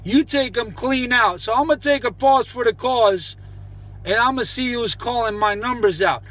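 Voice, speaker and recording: A man with a very high voice banters on CB radio. He is boastful, chanting and repeating his phrases as he goes. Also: moderate pace, fairly bright tone. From New Jersey, United States. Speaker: CB radio operator.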